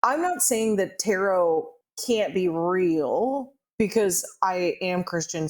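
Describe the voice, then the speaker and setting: A woman co-hosting a podcast in casual chat, earnestly explaining her views and clarifying herself. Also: lowish voice. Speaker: podcast co-host.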